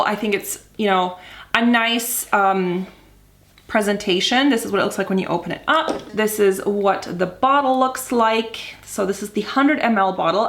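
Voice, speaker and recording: A woman's voice: fairly high pitch, fairly bright tone. Chatty woman reviewing a perfume. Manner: chatty, easygoing, with fillers. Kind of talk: casual product review vlog. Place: home room with wood floor.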